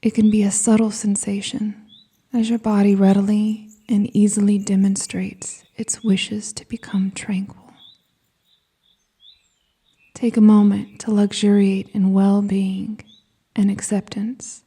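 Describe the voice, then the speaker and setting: A woman with a soft, breathy, whispery voice guiding a scripted meditation in a soothing, gentle manner with deliberate pauses. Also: medium pitch, level tone. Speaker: hypnosis and meditation guide.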